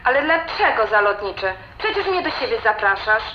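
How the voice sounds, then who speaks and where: A woman's voice: a little high, big pitch swings, a little fast. A woman playing a pushy, defiant young adult daughter in an audio drama, through a telephone filter. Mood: insistent. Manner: insistent, cheeky. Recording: muffled phone line, echoey, faint noise.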